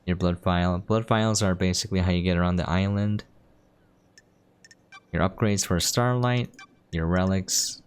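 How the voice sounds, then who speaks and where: A man's voice: low voice, clean tone. A man casually explaining a game as he plays, in an offhand, explanatory way. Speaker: game preview host. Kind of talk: casual gameplay commentary.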